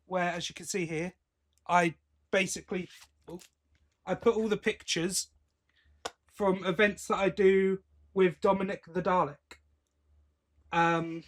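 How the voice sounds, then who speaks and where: Higher-voiced British man chatting on a podcast. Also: fairly bright tone. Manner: chatty, rambling, self-interrupting. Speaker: podcast host. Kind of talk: casual chat.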